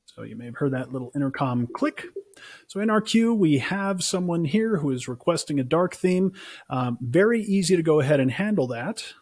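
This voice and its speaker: Higher-pitched husky man walking through software. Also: lively intonation, moderate pace, no echo. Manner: informal, conversational explaining. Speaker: customer success presenter. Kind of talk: casual software demo walkthrough.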